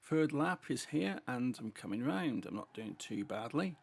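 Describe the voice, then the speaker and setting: Very gravelly, husky British man. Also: mid-range voice, very animated, measured pace, darkish tone. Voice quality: heavy sibilant S sounds. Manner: chatty running commentary while driving. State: voice going hoarse from a cold. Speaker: gaming channel host. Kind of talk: casual racing game commentary.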